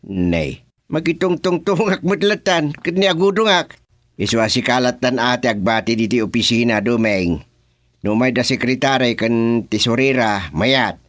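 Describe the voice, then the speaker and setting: A man's voice: medium pitch, lively intonation, moderate pace, a bit bright, no echo, studio quality, lightly acted. A man playing an exasperated, swooping Ilocano village chairman. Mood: businesslike. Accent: Filipino, Ilocano. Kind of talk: radio drama comedy acting.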